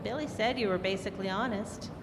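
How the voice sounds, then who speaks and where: A woman's voice: medium pitch, a little fast, lightly acted. A woman playing a shrewd, scheming double-crosser in an old-time radio drama, recorded in a hall. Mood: conspiratorial, frank. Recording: noisy, echoey live hall recording.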